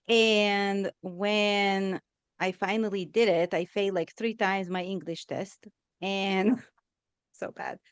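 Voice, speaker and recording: A woman in her thirties, from Israel and born in Russia, telling her life story as a podcast guest, animated and full of fillers, acting out her past self in little quotes. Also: medium pitch, smooth, no echo. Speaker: podcast guest, forest therapy guide. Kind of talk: personal storytelling interview.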